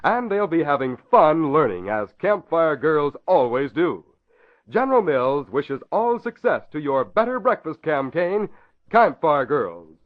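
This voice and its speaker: A male announcer narrates in vintage 1940s radio fashion. His delivery is dramatic and emphatic, swinging widely in pitch, with the muffled tone of an old broadcast. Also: sing-song, unhurried.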